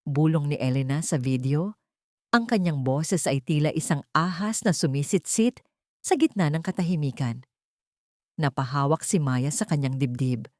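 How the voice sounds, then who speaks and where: A woman's voice: deep voice, darkish tone, dry recording, clean studio sound. A woman narrating a scripted dramatic story as an audio storyteller.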